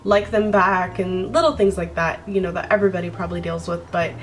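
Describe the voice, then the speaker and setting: A woman's voice: fairly low pitch, a little fast, soft background music, rough recording. A young woman from Brazil, Portuguese her first language, talking casually to her camera in a bedroom, rambling and laughing often. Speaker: vlogger. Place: bedroom by a window, indoors.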